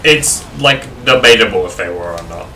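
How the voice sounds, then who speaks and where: A man chats casually during a game in short, sweary interjections. His voice is bright and forceful, picked up from a distance in an echoey room with faint noise. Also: low voice, animated, normal speed. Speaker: co-player chatting along. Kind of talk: casual chat while gaming.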